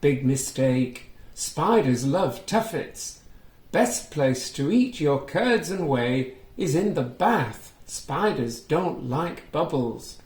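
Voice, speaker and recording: In an echoing room, a man reads as Mother Goose, a wise old storybook woman with helpful answers. The delivery is slow, emphatic and instructive, pitched a little high. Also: expressive, darkish tone. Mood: helpful advice.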